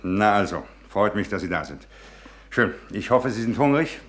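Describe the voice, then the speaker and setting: An actor in a German radio play playing a self-assured husband and host, brisk, commanding and formally hospitable. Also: male voice, on the low side, animated, lightly acted. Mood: hearty, hospitable.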